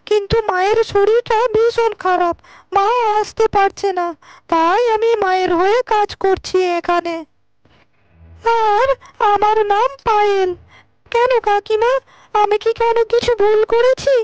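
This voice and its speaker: A woman voicing village women and girls in a cartoon moral tale, speaking earnestly and deliberately. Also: very high voice, no echo, muffled recording. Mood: shy, polite. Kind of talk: cartoon dialogue acting. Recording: dry voice over background music.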